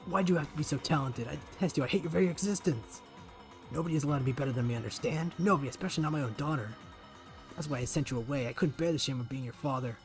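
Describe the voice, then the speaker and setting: A man voicing a cruel, jealous older scientist who sneers coldly and then shouts at his daughter. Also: mid-range voice, a little fast, faint music behind, lightly acted. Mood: furious. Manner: cold, sneering, explodes into shouting.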